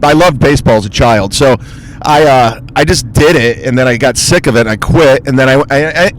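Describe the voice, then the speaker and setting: A man's voice: medium pitch, a little fast, a little hiss or hum, low-quality recording. A man from Ohio, a comedian guesting on a podcast, telling stories in an easygoing way with lots of stammered restarts. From Ohio, USA. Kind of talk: casual podcast chat.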